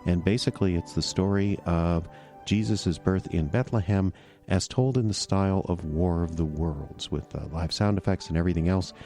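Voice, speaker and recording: Middle-aged man, radio theater host, very deep voice, mellow tone, relaxed, fillers, faint music behind. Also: moderate pace. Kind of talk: casual introduction.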